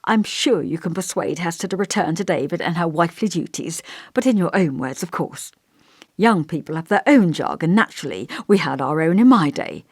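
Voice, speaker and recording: A woman's voice: fairly low pitch, animated, fairly quick, slightly rough, no echo, clean studio sound. A woman voicing an elderly male village vicar in an audiobook, kindly, long-winded and fussy, with a pompous, old-fashioned, musing manner. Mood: genial, fussy. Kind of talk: audiobook character dialogue.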